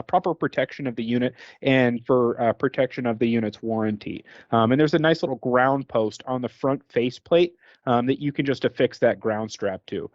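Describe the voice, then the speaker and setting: A man presents a product as a trainer would, explaining it step by step. His voice sits a little high. The sound is dry and somewhat dull, short on detail. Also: darkish tone, no echo. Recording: dull, band-limited recording.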